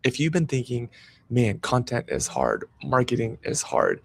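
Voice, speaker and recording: A man's voice: mid-range voice, huge pitch swings, measured pace, creaky, slightly rough. A man hosting a live-streamed interview show, talking in an upbeat, eager and promotional way. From United States. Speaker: podcast host.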